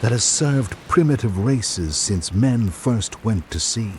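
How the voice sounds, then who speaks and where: An actor playing a reflective literary traveller, narrating a radio drama in a measured, formal way. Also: male voice, fairly low pitch, animated, a little hiss or hum. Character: reflective literary traveller recalling island life. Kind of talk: scripted first-person narration.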